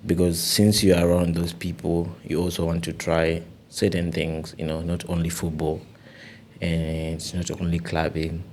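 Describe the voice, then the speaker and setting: Deep-voiced earnest young man. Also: normal speed, a little hiss or hum. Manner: earnest and animated, often says "you know". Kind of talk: casual podcast conversation.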